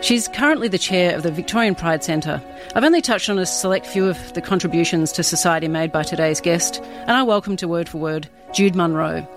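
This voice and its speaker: A woman hosting a radio interview show, reading a measured scripted introduction before chatting with her guest. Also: lowish voice, music in the background. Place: radio studio.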